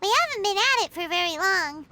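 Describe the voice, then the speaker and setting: A woman voicing a small, childlike, excitable girl companion sprite in a video game, cheerful and naive with a squeaky delivery. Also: a little fast, heavily acted. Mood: chirpy. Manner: refers to self in third person, exclamatory. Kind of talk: English video game voice acting.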